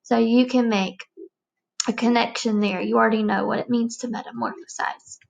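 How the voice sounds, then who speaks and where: A woman's voice: on the high side, fairly even intonation, moderate pace, creaky, rough recording. A woman teacher giving a read-aloud lesson for young children, explaining things emphatically and checking understanding. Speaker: elementary teacher reading aloud.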